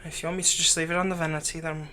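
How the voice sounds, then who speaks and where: A man playing a doting, lightly teasing fantasy villain captor in an ASMR roleplay, speaking softly and tenderly, coaxing the listener without hurry. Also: fairly high pitch, level tone, a little hiss or hum. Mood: gentle, reassuring. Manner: soft, coaxing, unhurried, tender.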